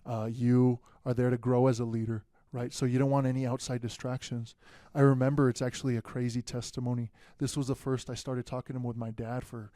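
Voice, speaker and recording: Homem jovem contando histórias, voz escura. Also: male voice, mid-range voice, fairly quick, few pauses, no echo, clean studio sound. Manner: relaxed storytelling, many fillers. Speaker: guest, future pastor. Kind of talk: casual podcast conversation.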